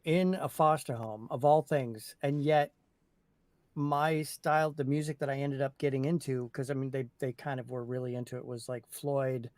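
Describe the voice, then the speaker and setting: A man chatting casually, telling stories in a slow, reflective way. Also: mid-range voice, steady tone, darkish tone, no room sound. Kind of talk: casual chat.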